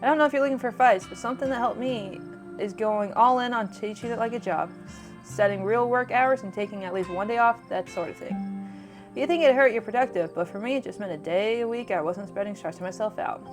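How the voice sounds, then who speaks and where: Woman reading as a high-voiced friendly small-town woman. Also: a little fast, music in the background, close to an everyday voice. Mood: earnest, warm. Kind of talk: reading visual novel dialogue.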